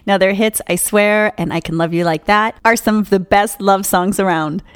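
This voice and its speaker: A woman hosting a radio show, asking guests questions briskly and upbeat. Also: mid-range voice, steady tone, smooth, dry recording, clean studio sound. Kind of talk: radio interview.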